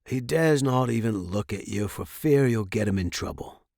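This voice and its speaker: A man voicing an older male host, easygoing and gently protective of his dragon, in an audiobook story. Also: on the low side, expressive, normal speed, vocal fry, dry recording, clean studio sound. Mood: calm reassuring.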